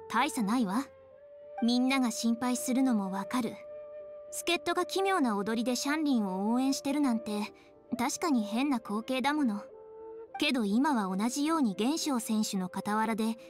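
A woman voicing a serious, logical young official who explains matter-of-factly in a Japanese anime-style game. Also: high voice.

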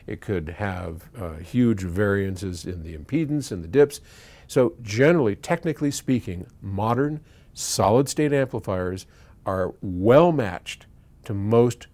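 A man's voice: lowish voice, darkish tone. An American man in his sixties explains things informally to camera, opinionated and conversational, in stop-and-start phrases. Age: 60s. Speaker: audio company founder answering listener questions. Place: indoors in an electronics workshop.

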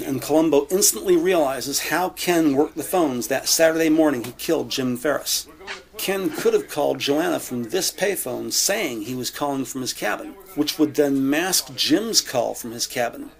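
A man reading scripted audio drama narration in a measured, descriptive way. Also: a little high, creaky.

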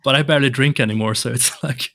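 A man's voice: medium pitch, steady tone, fast talker, vocal fry, pushed and bright. A young man from Sweden, Swedish his first language, speaking English as a podcast guest in a home room on a studio mic, hesitant with many restarts. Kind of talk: casual interview chat. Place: home room with shelves and colored lights. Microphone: large studio mic on arm, headphones.

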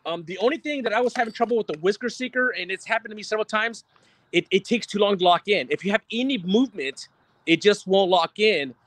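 A man's voice: high voice, fast, a bit bright, no echo. A man on a video call showing his fishing gear outdoors at night, rambling with lots of "um" and casual swearing. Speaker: guest angler.

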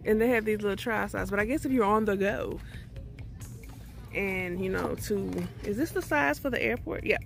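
Chatty woman with a gravelly edge. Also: medium pitch, moderate pace, soft background music. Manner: chatty, addresses viewers as y'all. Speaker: vlogger. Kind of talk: casual shop-with-me chat. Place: store aisle. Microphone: handheld phone.

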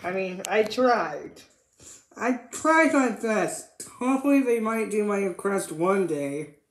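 A woman chatting casually and unscripted about toys, in a halting, repetitive way that trails off. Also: mid-range voice, lively intonation. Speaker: toy reviewer. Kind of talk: casual unscripted toy review chat. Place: indoor room with hard tiled floor. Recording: echoey room, background noise, clicks.

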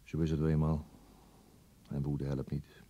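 An actor playing a weary, brooding police detective in a radio play monologue, deliberate and resigned, with a husky, gravelly voice. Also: male voice, a bass voice, little pitch movement, dark tone, dull audio, full character voice. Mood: somber.

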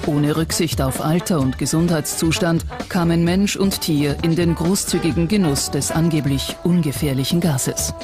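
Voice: medium pitch, moderate pace, hardly any pauses. A narrator reading a scripted documentary in a composed, prepared delivery. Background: background music.

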